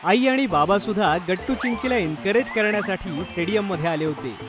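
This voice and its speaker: A man's voice: sits high, lively intonation, brisk, music in the background. A man narrating a scripted children's story as the storyteller.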